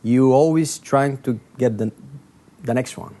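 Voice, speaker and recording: A man in his thirties from Bulgaria with a Bulgarian accent, a guest in a casual interview chat, halting and searching for words with many fillers. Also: medium pitch, mellow. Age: 30s. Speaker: talk show guest.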